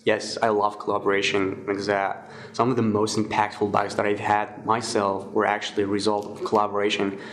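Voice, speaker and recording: A man in his twenties from Morocco, answering interview questions in a relaxed way with many fillers and restarts. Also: fairly low pitch, a little fast. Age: 20s. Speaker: guest, security researcher.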